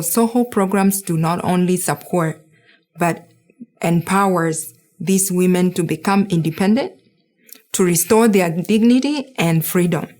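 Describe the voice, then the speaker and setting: A woman's voice: lowish voice, a little mellow. A woman from Kenya, in her thirties, giving an earnest, heartfelt speech as a board member at a charity gala.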